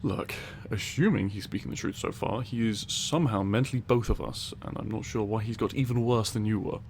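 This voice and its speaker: A man's voice: fairly low pitch, a little fast, vocal fry, slight background noise. A male voice actor playing a grumpy, superior lab scientist in an ASMR audio roleplay, dry and curt. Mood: irritated, condescending.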